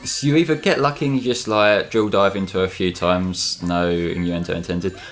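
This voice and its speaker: Wry, low-pitched man commenting on a game. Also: fairly even intonation, normal speed. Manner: joking, wry, sweary. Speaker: let's play co-commentator. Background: game music.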